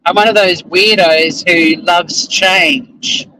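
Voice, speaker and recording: A man's voice: high voice, steady tone, slow pace, bright, forceful tone, a little hiss or hum, poor audio. A middle-aged Australian man vlogging casually from inside his car as he drives, rambling and self-deprecating, with casual swearing. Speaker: vlogger and lawyer. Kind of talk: casual chat to camera while driving. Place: inside a car while driving.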